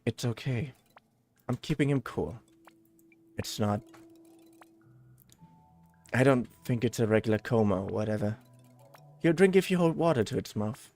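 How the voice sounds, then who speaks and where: A man voicing a gloomy, gentle game character in a soft, weary, tender way. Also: mid-range voice, lively intonation, a little slow, pauses often, faint music behind. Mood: gentle, resigned.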